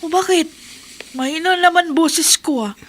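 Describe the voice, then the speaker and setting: An actress playing a nosy, gossipy wife in a radio drama. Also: female voice, very high-pitched, measured pace, a bit bright, slight background noise.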